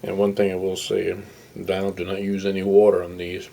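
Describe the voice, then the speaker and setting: A man giving a casual, unscripted hands-on demonstration as a hobbyist, explaining in a halting, rambling, folksy way. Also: deep voice, monotone, vocal fry, dark tone, slight background noise. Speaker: hobbyist radio restorer presenting his project.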